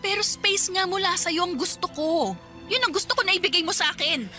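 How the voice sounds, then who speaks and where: A woman's voice: very high voice, brisk, sharp tone, soft background music. A woman playing a weary, evasive girlfriend who wants space, speaking in an exasperated, firm radio drama style. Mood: exasperated, pleading. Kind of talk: Tagalog radio drama acting.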